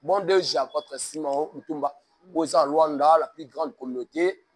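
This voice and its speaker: Congolese pastor, high voice, emphatic sermon cadence. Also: male voice, moderate pace. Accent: Congolese French. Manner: emphatic, mixes French and Lingala, sermon cadence. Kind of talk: preaching-toned interview talk.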